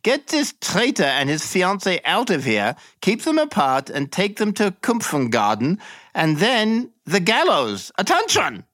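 A man's voice: sits high, huge pitch swings, unhurried, no room sound, clean studio sound, pitched above the performer's own voice, exaggerated voice. A man voicing a blustering army captain who barks commands and insults. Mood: contemptuous. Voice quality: barking, shouted commands.

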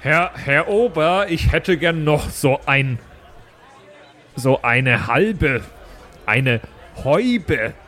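A man's voice: on the high side, lively intonation, very slow, long pauses, fairly bright tone, voice pushed up, exaggerated voice. A man playing a small, naive, chatty dwarf in an improvised tabletop game, polite and hesitant. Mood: tipsy, polite. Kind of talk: improvised tabletop role-play.

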